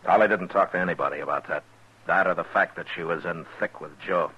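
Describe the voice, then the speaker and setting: A very low-voiced American shrewd frontier marshal in an old radio western. He is terse and commanding, with a husky edge, on a muffled vintage recording. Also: quick pace. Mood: calm, assured. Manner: terse, commanding, plain-spoken. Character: shrewd, authoritative frontier lawman, grown man. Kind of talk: 1950s radio western acting.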